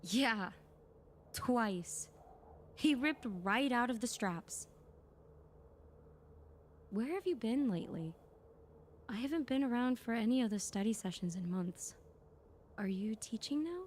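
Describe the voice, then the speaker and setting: Woman acting a tired nerdy soldier lady in sci-fi audio drama, dry and sardonic, highish voice, bit of background noise. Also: lively intonation, slow, pauses often. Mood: weary, concerned. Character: weary, bookish female sci-fi soldier-scholar, dryly sardonic. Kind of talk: naturalistic audio drama dialogue.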